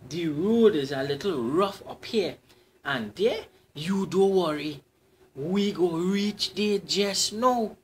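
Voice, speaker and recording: A man's voice: high voice, animated, slow, a little hiss or hum. A man voicing a flirtatious man who gets terrified, with a wheedling manner. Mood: wooing.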